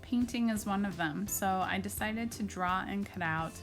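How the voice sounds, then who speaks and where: A woman's voice: mid-range voice, moderate pace. A woman art teacher narrating a children's craft tutorial, explaining simply and step by step. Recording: music behind the voice. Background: background music.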